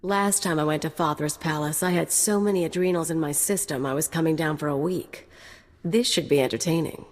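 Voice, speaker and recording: A woman's voice: sits low, brisk. An actress voicing a cocky, reckless female mercenary with a sardonic, flippant manner. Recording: game audio with long reverb.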